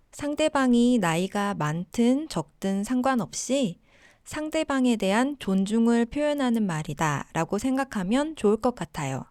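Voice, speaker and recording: Slow-paced woman teaching a language lesson. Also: a little high, darkish tone, dry recording, clean studio sound. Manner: deliberate, simple phrasing for learners.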